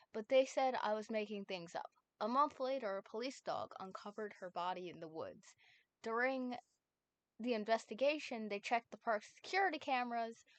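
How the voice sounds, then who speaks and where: A woman streamer voicing a frightened, desperate teenage boy who is never believed, with pleading, rushed outbursts. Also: fairly high pitch, no echo, close to an everyday voice. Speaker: streamer reading a fanfic aloud. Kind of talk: dramatic fanfic reading.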